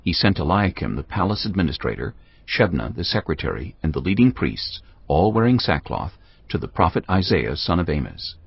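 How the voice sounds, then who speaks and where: Deep gravelly male Bible narrator. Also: very animated, brisk. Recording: dull lo-fi audio.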